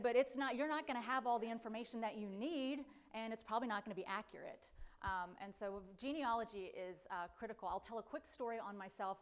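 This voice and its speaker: A middle-aged woman with a booming voice addresses a library audience through a microphone. Her delivery is chatty and self-deprecating. She often backtracks with fillers and restarts as she lays out her talk. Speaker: guest speaker, independent researcher. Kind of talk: public lecture. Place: library event room. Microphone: handheld or podium microphone.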